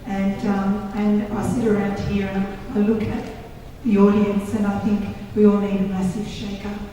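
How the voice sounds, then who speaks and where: A woman school principal with a croaky voice, giving an earnest, passionate comment from the audience. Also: medium pitch, monotone, normal speed, mellow, a little hiss or hum. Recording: echoey hall, distant mic.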